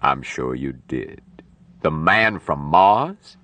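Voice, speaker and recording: Man playing a gruff, bossy old doctor, low-voiced. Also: lively intonation, moderate pace, a bit bright, no room sound. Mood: brisk, dry. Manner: curt commands and dry wisecracks.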